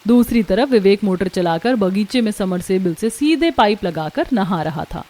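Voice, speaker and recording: A woman narrating a scripted cartoon family story in an expressive storytelling manner. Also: medium pitch, normal speed.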